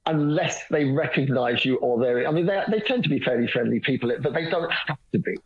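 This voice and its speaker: Guy on a radio chat, husky voice, kinda muffled lo-fi line, lots of uhs and restarts. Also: mid-range voice, a little fast. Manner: rambling, hesitant, many restarts and fillers. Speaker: guest. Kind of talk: phone-in radio interview.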